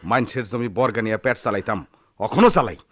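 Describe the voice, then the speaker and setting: An actor playing a poor sharecropper husband, tender but hot-tempered, speaking rural Bangladeshi dialect in a theatrical radio drama manner. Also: male voice, mid-range voice, quick pace, no room sound, studio quality, lightly acted. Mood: bitter. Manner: theatrical, swinging from murmurs to shouts.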